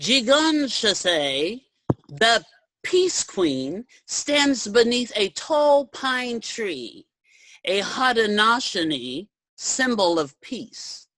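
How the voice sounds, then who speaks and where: A woman's voice: a little high, big pitch swings, very slow, fairly bright tone, no echo, exaggerated voice, rough recording. A woman playing an earnest suffragist speaker in a staged reading, declaiming solemnly. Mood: earnest, grave. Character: earnest suffragist poet and storyteller. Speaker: reader in a reader's theater.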